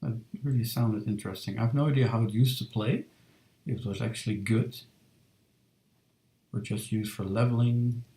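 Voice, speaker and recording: Man, 30s, relaxed streamer, gravelly and warm, roomy home mic. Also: fairly low pitch. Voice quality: soft, relaxed and unhurried. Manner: chatty, lots of fillers, reads chat. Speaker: streamer drawing fan art. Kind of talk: casual live-stream chat while drawing. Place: home studio room.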